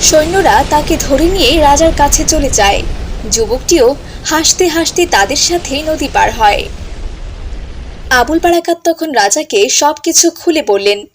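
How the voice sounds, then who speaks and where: A woman's voice: high voice, lively intonation, a little slow, slight background noise. A woman giving scripted storybook narration as the narrator of a tale.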